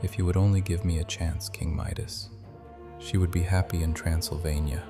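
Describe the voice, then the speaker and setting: An actor playing a possessive vampire prince, speaking with low, controlled, menacing calm. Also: male voice, moderate pace. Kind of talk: dark fantasy audio drama. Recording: music clearly underneath.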